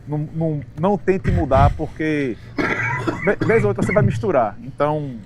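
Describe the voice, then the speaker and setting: A Brazilian man on a sports talk panel, chatting casually with slangy words and halting restarts. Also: a little high, animated, heavy background noise.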